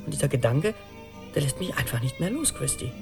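An actor in a radio play voicing an anxious middle-aged man who tells his story in an urgent, animated, rambling conversational monologue. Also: mid-range voice, brisk, gravelly, music in the background. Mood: earnest, urgent. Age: 40s.

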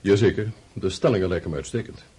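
A man acting an earnest, blunt staff general in a radio play, measured and dryly ironic. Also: low voice, mellow, breathy edge. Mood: sceptical.

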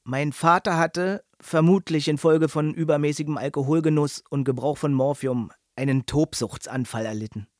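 A man's voice: a little high, normal speed, few pauses, a little mellow, dry recording. Man narrating a documentary, dry.

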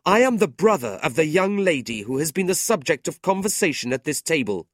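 A man voicing an indignant, honourable young gentleman, earnest and emphatic, in a storybook reading. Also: medium pitch, sing-song, unhurried, husky, no echo, studio quality, voice pushed up. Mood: righteous anger. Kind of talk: audiobook character voice.

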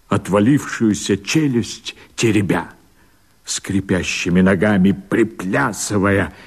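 An actor playing a fiery rebel leader who proclaims himself emperor, declaiming verse in a radio play with husky, impassioned, theatrical oratory. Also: male voice, lowish voice, heavily acted. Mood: ominous, dramatic.

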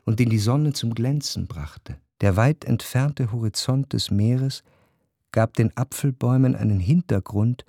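An actor playing a nostalgic gentleman narrator in a literary radio drama, recalling his past in contemplative, lyrical, measured phrases. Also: mid-range voice, gravelly, dry recording, studio quality. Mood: wistful, contemplative. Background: faint instrumental music.

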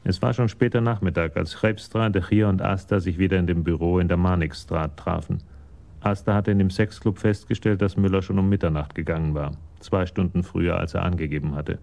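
A man's voice: sits low, steady tone, fairly quick, gravelly, darkish tone. A man giving sober scripted narration as the narrator of a German radio crime story.

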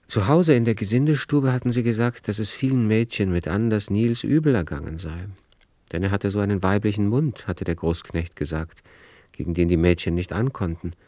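A man narrating a literary radio drama in a measured, grave way of storytelling. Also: fairly low pitch, dark tone, no echo.

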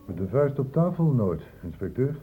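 A man's voice: mid-range voice, very animated, moderate pace, lightly acted. A man playing a concerned, insistent doctor, stern but caring, in a Dutch radio drama. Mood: pressing, indignant. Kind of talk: Dutch radio play acting. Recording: muffled, phone-like old radio recording.

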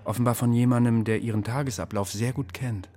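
An actor playing a Nazi ministry official in a radio drama, falsely courteous, smug and insinuating. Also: male voice, mid-range voice, steady tone, brisk, warm tone, natural voice. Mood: veiled menace.

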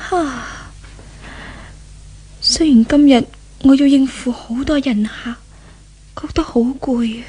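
Casting: tormented, once-pampered young woman, Hong Kong Cantonese radio drama, high and mellow, breathy, remorseful. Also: full character voice. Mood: weary, puzzled. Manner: dramatic, breathy monologue with sighs. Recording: 1970s radio drama recording.

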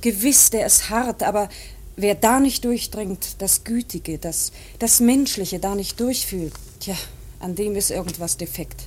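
An actress playing an earnest, troubled young woman in grief, halting and intense, in a German radio play. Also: mid-range voice, a little mellow, a little hiss or hum, lightly acted. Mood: brooding. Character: earnest, troubled grieving daughter and sister.

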